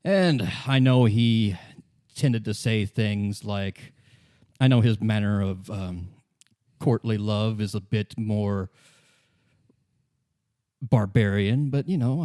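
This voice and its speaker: A man voicing a guarded, wry young woman in hiding, polite and halting, in a tabletop roleplay. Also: lowish voice, dark tone, dry recording, studio quality. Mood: frank, hesitant.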